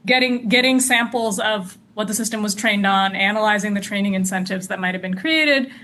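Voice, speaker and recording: A woman's voice: mid-range voice, brisk, pushed and bright, a little hiss or hum. A woman researcher answering interview questions earnestly, with many likes and restarts. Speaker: AI safety researcher guest.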